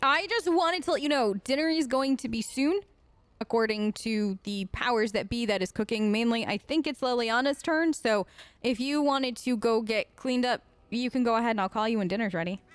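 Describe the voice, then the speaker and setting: A woman's voice: a little high, normal speed, no echo. A woman doing casual, chatty role-play commentary as she plays a video game in character. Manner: conversational, playful, chatty. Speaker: gamer playing in character.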